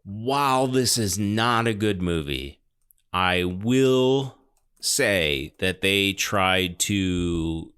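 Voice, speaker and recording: A man in a casual podcast discussion, talking in a thoughtful, discursive way with halting phrasing. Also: deep voice, fairly bright tone, clear, resonant tone. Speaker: podcast co-commentator.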